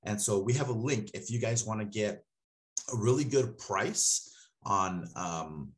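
A man's voice: fairly low pitch, measured pace. A man in his thirties, a marketing consultant, casually walking viewers through a screen-share tutorial and often checking in with "okay?" and "right?". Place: small room at a desk.

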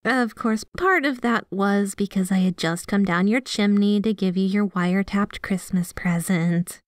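A woman's voice: mid-range voice, unhurried, no room sound, clean studio sound, close to an everyday voice. A woman playing a sweet, devoted, jealous yandere girlfriend in an ASMR roleplay, speaking with affectionate, playfully possessive manner. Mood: fondly nostalgic. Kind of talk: ASMR roleplay, scripted one-sided conversation.

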